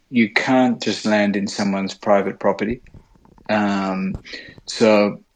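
Low-pitched Australian man, halting delivery. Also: rough recording. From Sydney, Australia. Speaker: interview guest, bush pilot. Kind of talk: conversational interview storytelling.

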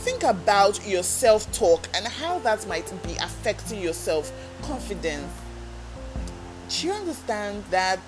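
A woman's voice: medium pitch, sing-song, measured pace, music in the background, low-quality recording. A woman hosting a self-help podcast, talking earnestly and coaching listeners with direct questions. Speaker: podcast host. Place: talking alone into a microphone.

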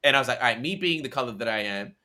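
A young man from Australia talks as a guest in a casual conversation, his voice bright and forward. He rambles with slang and side tangents, energetic and loose. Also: medium pitch, very fast. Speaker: podcast guest.

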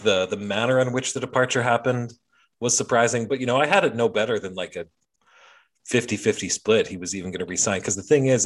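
A man hosting a casual sports podcast, sardonic and profane, opening with a mock-dramatic intro. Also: lowish voice. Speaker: podcast host. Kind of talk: casual hockey chat.

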